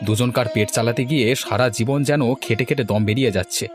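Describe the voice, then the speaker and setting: A man's voice: medium pitch, fast, music in the background, natural voice. A man voicing a weary, resentful married farmer in a village cartoon, grumbling about his hard lot. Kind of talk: cartoon voice acting.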